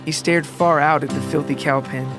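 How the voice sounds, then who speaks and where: A man reads scripted narration as host of a story podcast. His voice is slightly high and stays fairly even in pitch, over clearly audible guitar music and rain. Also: moderate pace.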